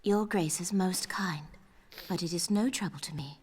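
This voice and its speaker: An actress playing a formal, deferential royal attendant in a fantasy game, with a breathy voice. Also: female voice, lowish voice, normal speed, darkish tone, a little hiss or hum, lightly acted. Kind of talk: scripted fantasy game acting.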